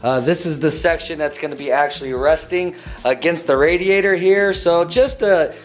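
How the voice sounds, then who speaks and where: A young man with a slightly hoarse voice, walking through a car build in a casual vlog and tossing in dude and bro. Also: on the high side, moderate pace. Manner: laid-back slangy, says dude and bro. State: slightly hoarse, losing his voice.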